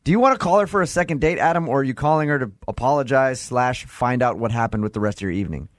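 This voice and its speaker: A male radio host with quick, casual morning-show banter. His pitch is ordinary and the sound is dry and close, as in a studio. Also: animated, dry recording.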